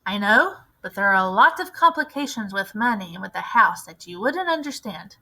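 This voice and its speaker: A woman voicing a firm, grimly knowing elderly grandmother in a storybook, with measured, grave delivery. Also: mid-range voice, lively intonation, pushed and bright, low-quality recording. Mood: firm, regretful. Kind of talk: storybook read-aloud character voice.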